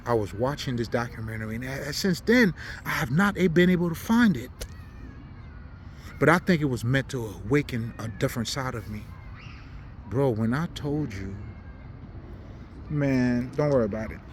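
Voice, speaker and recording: A man's voice: mid-range voice, expressive, normal speed, pauses often, slight background noise. A man from Haiti, not a native English speaker, giving an unscripted, preaching monologue in blunt, profane slang with emphatic repetition. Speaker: spiritual commentator.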